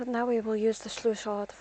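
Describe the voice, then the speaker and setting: A woman's voice: a little high, steady tone, a little slow, darkish tone, rough recording. A young woman from Ireland rambling through casual gameplay commentary as a streamer, self-deprecating and talking to herself.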